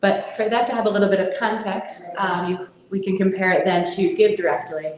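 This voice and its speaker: A woman's voice: mid-range voice, fairly even intonation, fairly quick. American woman, conference speaker, mid-range voice, earnest, roomy echo. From United States. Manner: earnest, with frequent fillers and restarts. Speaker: conference speaker, charity co-founder. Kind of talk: conference presentation. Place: conference room.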